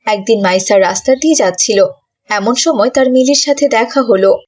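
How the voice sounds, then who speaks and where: A woman's voice: a little high, normal speed, a little mellow, far from the mic. A woman narrating a scripted moral tale for a cartoon.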